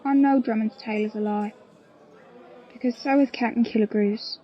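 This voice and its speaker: A woman's voice: fairly high pitch, fairly even intonation, moderate pace, dull audio, crowd noise, close to an everyday voice. A woman playing a loyal, plain-spoken pirate crew member in an audio play, telling her story earnestly in old seafaring dialect. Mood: grave, confiding. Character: loyal, plain-spoken pirate crewwoman confiding a grave secret.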